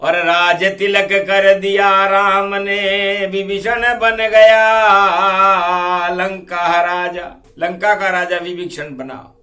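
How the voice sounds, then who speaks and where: High, slow-chanting male religious storyteller. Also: level tone, pushed and bright. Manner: declamatory, drawn-out verse lines broken by quick asides. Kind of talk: devotional storytelling, half-sung rhyming verse mixed with spoken explanation.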